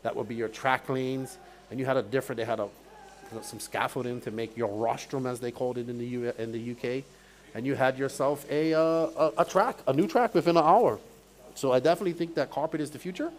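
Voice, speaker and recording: A man's voice: medium pitch, brisk, soft background music. A man from Bermuda with a Bermudian accent, working as a race commentator and chatting casually with live-stream viewers. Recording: clear background noise, outdoor event ambience.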